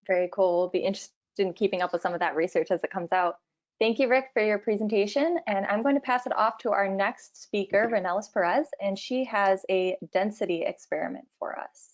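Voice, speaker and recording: A woman's voice: medium pitch, a little fast. A woman moderating an online event, brief, upbeat and reassuring as she passes questions and speakers along. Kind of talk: moderating an online open house. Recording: dull lo-fi video call audio.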